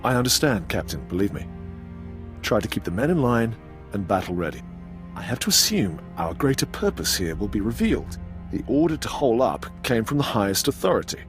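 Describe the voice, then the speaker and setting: An authoritative general in a sci-fi game. His lowish voice is expressive yet measured and formal. Music is clearly audible behind him. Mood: steady authority. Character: steady, authoritative male army general. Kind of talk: video game voice acting.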